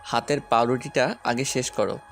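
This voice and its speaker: A man playing a caring, gently bossy young neighbour in an audio story, speaking in a measured, advising way. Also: medium pitch, creaky, soft background music. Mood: calm, instructing.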